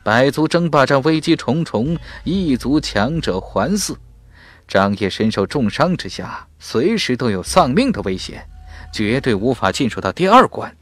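A man voicing a cold, sly, burly old warrior master in an audiobook, measured and falsely magnanimous. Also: medium pitch, very animated, dry recording. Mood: cold, scornful.

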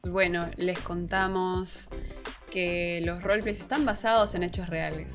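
A woman's voice: fairly low pitch, steady tone, unhurried. Playful young woman chatting over music. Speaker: co-host of a video podcast. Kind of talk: casual chat. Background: background music.

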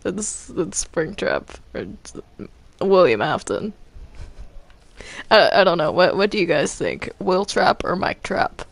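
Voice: medium pitch, sing-song, slow pace, vocal fry, no echo. A gamer chatting casually and playfully during gameplay, often giggly and teasing.

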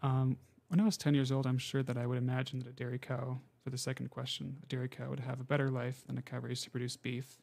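A man in his twenties from Montana, a college student, speaking in a steady, thoughtful way on a radio talk show. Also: medium pitch, fast, vocal fry, hardly any pauses. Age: 20s.

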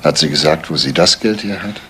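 An actor playing a persistent police detective in a radio crime drama, asking terse, insistent questions. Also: male voice, very deep voice, brisk, a bit bright, a little hiss or hum. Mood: probing.

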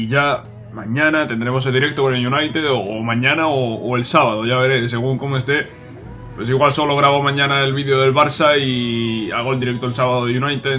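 A man's voice: mid-range voice, sharp tone. A man commenting on a football game while he has a sore throat, chatty and grumbling, repeating himself. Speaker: gaming YouTuber.

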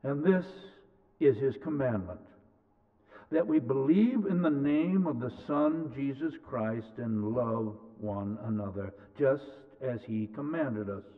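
A middle-aged man reading scripture aloud in a large church sanctuary, with deliberate, emphatic phrasing from the pulpit. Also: mid-range voice, expressive. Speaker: scripture reader. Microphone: pulpit mic, far camera. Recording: tinny, reverberant church sound.

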